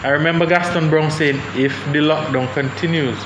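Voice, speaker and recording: A young man talks through his views on a panel, pausing and restarting as he thinks. His voice sits a little high, and the room is echoey with steady background noise. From Saint Vincent and the Grenadines. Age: young adult. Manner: thoughtful, hesitant, many restarts. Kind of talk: panel discussion.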